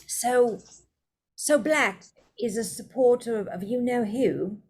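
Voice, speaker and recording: A woman voicing a nervous, apologetic teenage boy wizard who talks hesitantly and slowly. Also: fairly high pitch, a little mellow, breathy edge, pitched above the performer's own voice, heavily acted.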